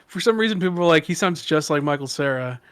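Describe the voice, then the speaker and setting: A man with a fairly soft voice, a podcast guest telling a story in casual chat and often restarting his phrases. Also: a little high, lively intonation, fast, clean tone, no echo, clean studio sound.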